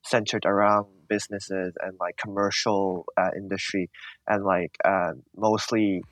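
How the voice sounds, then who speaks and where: A man's voice: deep voice, flat delivery, unhurried, no echo, rough recording. A young man from Hong Kong with a Hong Kong accent, answering interview questions with lots of "uh" and "like". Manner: hesitant, many fillers like "uh" and "like". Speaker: guest.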